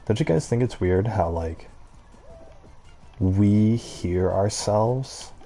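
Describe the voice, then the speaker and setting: A man with a low-pitched voice giving casual gameplay commentary, rambling in a halting way and circling back on his thoughts. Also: warm tone, faint music behind. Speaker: gaming video creator.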